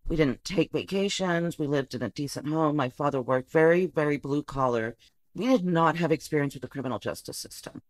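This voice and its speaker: A woman from the Rio Grande Valley in Texas, a social worker guest telling her story with a lively manner and easy laughs. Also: low voice, brisk, no echo. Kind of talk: podcast interview.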